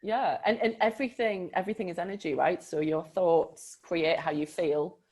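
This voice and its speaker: Lively woman talking about mindset. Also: lowish voice, moderate pace. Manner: animated, emphatic. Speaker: coach and co-host. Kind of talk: casual conversation.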